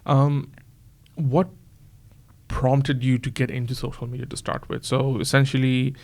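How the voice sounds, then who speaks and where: A man's voice: mid-range voice, flat delivery, unhurried, vocal fry, darkish tone. A man hosting a casual interview, asking long, rambling questions full of fillers and restarts. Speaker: podcast host.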